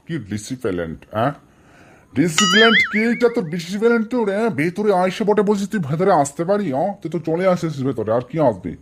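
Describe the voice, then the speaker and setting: A man's voice: fairly high pitch, slight background noise, lightly acted. A man voicing a strict, sarcastic village schoolteacher in a comedy cartoon, scolding in colloquial speech with a rural West Bengal accent.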